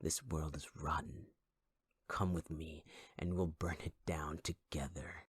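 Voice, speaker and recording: Male actor as a scarred, possessive villain: very low, husky, taunting half-whisper, dry close mic. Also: flat delivery, measured pace, no room sound, full character voice. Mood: menacing. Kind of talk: ASMR audio roleplay.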